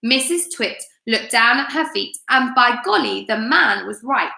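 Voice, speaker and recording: A woman with a bright, fairly high voice speaks in an echoey room. She is a teacher running a live story time for children, chatting directly to them in an expressive, encouraging way. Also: a little slow, gravelly.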